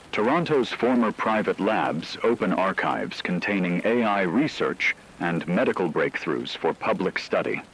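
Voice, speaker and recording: A man playing an earnest, solemn radio news anchor, reading a scripted broadcast in a formal, measured way. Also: deep voice, fairly bright tone, a little hiss or hum, exaggerated voice, low-quality recording.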